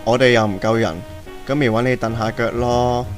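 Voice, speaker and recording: A man's voice: medium pitch, flat delivery, moderate pace, soft background music, natural voice. A man playing a chatty, persuasive teenage teammate with a Hong Kong Cantonese accent in an amateur radio drama. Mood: coaxing. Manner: slangy, coaxing.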